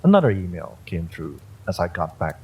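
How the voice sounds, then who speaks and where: A man's voice: low-pitched, big pitch swings, normal speed, darkish tone, slightly rough. A man reading a scripted first-person horror story as its narrator.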